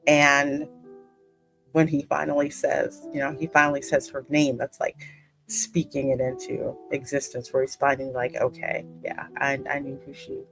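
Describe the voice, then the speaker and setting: A woman's voice: a bass voice, completely flat delivery, moderate pace, gravelly, fairly bright tone, faint music behind, low-quality recording. A woman in casual, informal chat as a gaming co-host, with little self-mocking asides. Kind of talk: casual chat reacting to a game.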